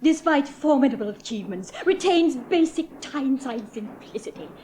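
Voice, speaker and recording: A gushing, patronising reporter. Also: female voice, sits high, animated, moderate pace, warm tone, slight background noise. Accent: English. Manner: gushing, condescending, dictates copy aloud. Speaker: newspaper reporter. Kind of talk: 1970s radio drama.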